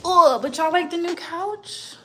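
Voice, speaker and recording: A woman's voice: very high-pitched, a little slow, slight background noise. A young woman from the southwestern United States chatting casually on a livestream at home, rambling along in slangy, informal talk. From southwestern United States (Arizona and Las Vegas). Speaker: livestream host.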